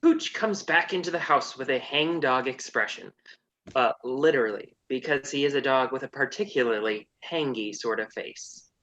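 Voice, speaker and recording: Wry low-pitched female narrator, slightly dull sound. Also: normal speed, a bit bright, a little hiss or hum. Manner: wry, sassy. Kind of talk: scripted comedic narration.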